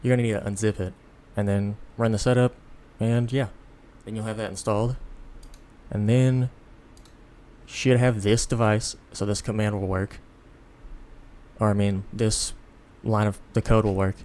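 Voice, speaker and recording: Low-voiced, sleepy man giving a tutorial. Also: level tone, normal speed, a little mellow. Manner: sleepy, rambling, self-deprecating. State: tired, sleepy. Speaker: tutorial host. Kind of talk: unscripted software tutorial, casual.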